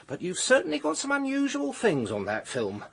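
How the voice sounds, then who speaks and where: Husky, fussy village chemist. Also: male voice, very high voice, huge pitch swings, moderate pace, a bit bright, heavily acted. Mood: obliging. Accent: British. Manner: flustered, obliging, hesitant. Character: fussy, eager small-town male chemist, deferential and nervous. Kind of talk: detective radio drama acting.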